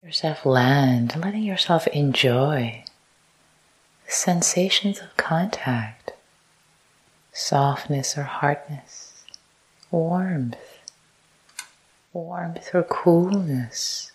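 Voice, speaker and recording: A woman teaching a guided meditation, speaking softly and calmly in short repeated phrases, often close to a whisper. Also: low-pitched, very slow, pauses often, low-quality recording. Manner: soft, breathy, often near-whispered delivery. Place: meditation retreat talk.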